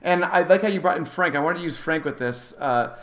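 A man's voice: fairly high pitch, brisk. A man co-hosting a sports podcast, casually discussing basketball and often backtracking mid-thought as he makes his points. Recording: roomy, echoey sound.

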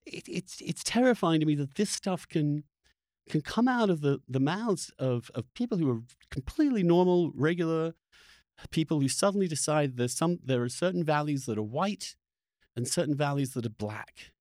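A man's voice: on the high side, lively intonation, normal speed, a little mellow, no echo, studio quality. A man hosting a podcast, talking in an opinionated, unscripted way, emphatic and often restarting his phrases. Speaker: podcast host.

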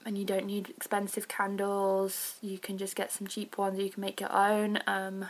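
A woman hosting a podcast as an informal, reflective solo chat, with hedging asides and a creaky voice. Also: medium pitch, completely flat delivery, moderate pace. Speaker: podcast host.

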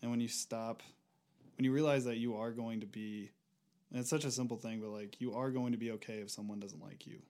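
A young man speaking as a podcast guest, reflective and hesitant, working through his thoughts with frequent pauses. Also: mid-range voice, steady tone, a little mellow. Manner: reflective, hesitant, many fillers and restarts. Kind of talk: casual conversation.

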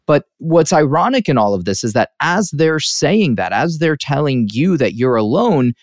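A man's voice: fairly high pitch, sing-song, normal speed, no room sound, clean studio sound. A man hosting an interview, talking in an earnest, explanatory way and often checking in with his guest. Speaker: interviewer, host. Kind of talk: conversational interview commentary.

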